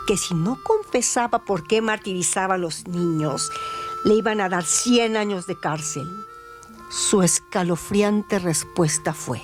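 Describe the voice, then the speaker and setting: A woman reading a scripted crime story as a radio narrator, with deliberate, dramatic phrasing. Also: mid-range voice. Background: music.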